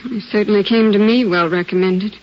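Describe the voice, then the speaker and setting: A woman's voice: mid-range voice, fairly quick, lightly acted. An actress playing a talkative, kindly, thrifty woman employer in a 1950s radio drama. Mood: chatty, concerned. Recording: muffled vintage radio recording.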